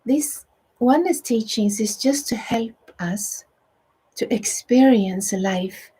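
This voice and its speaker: Soft-spoken Swedish woman, very breathy. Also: fairly high pitch, poor audio. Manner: soft, slow and soothing, often near a whisper. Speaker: meditation teacher. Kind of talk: guided meditation and spiritual teaching.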